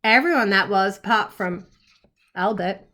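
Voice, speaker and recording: A woman narrating a picture book for young children, with expressive, carefully phrased storytelling. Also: medium pitch, measured pace.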